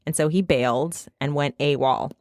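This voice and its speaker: A woman with a low voice and a slight Midwestern accent tells a story on a podcast. She talks casually and laughs often. The mic is close and dry. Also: normal speed, darkish tone, no room sound. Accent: Midwestern American. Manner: chatty, laughs often, reads quotes aloud. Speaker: podcast host.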